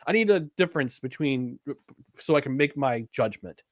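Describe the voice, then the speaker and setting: A man's voice: mid-range voice, animated, normal speed, dry recording, clean studio sound. A young American man co-hosting a podcast, chatting casually with rambling, self-deprecating asides and frequent laughter. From United States. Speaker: podcast co-host. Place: upstate New York.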